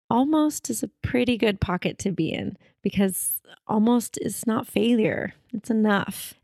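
A woman's voice: mid-range voice, gravelly, darkish tone, no echo, clean studio sound. A woman co-hosting a podcast, chatting casually and playfully with her sister. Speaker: podcast co-host. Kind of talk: casual chat.

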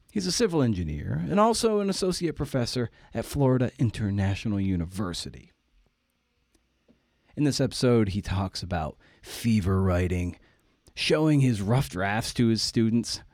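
A man's voice: fairly low pitch, darkish tone, dry recording, clean studio sound. A man hosting a podcast, chatting casually through an introduction in an irreverent, slangy, self-deprecating way. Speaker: podcast host.

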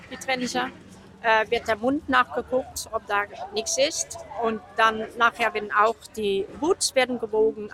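Dutch woman with halting German. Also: fairly high pitch. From Netherlands. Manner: halting, searching for German words. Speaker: equipment-check judge. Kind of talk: interview answers. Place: outdoors at a horse show.

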